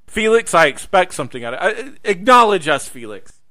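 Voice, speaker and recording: A man's voice: sits high, big pitch swings, brisk, sharp tone. A man hosting a radio show, chatting casually with crude, sweary, mock-pleading banter. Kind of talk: casual chat.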